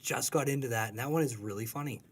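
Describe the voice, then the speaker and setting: A man's voice: mid-range voice, fast talker, a little hiss or hum. A man from the United States chatting casually as a podcast guest, with informal, brief answers and hesitant starts. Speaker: podcast guest, comic strip artist. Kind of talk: casual interview chat.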